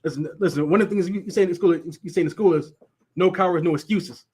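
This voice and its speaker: A man's voice: high-pitched, fast, a little mellow, husky. A man who stutters and repeats words, casually teaching a Bible class and laughing often. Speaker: teacher leading a Sabbath class.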